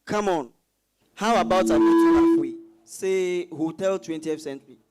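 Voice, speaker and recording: A woman's voice: lowish voice, expressive, normal speed, close to an everyday voice. A woman playing an impatient, practical husband in a dramatized reading. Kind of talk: dramatized stage-style reading.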